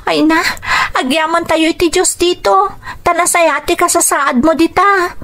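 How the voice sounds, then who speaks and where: A woman's voice: very high voice, fairly even intonation, measured pace, a bit bright, heavily acted. A woman playing a grateful, caring young student niece in an Ilocano radio drama, speaking earnestly and respectfully. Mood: relieved, caring.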